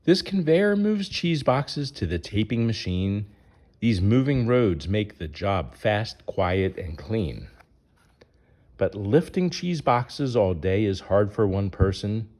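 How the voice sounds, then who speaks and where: A man's voice: on the low side, very animated, slow, darkish tone. A man reading a rhyming children's picture book aloud in a sing-song way, putting questions to young listeners.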